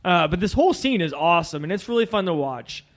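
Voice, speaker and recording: A man talks through films in a casual podcast style. His voice is a bit higher than average for a man, and he guides the conversation as host. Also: expressive, quick pace. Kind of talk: casual film discussion.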